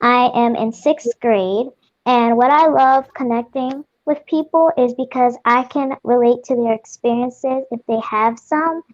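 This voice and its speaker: A young girl with an American accent introduces herself eagerly in a high voice. The sound is dry and dull. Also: darkish tone, dry recording. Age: child. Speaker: student panelist.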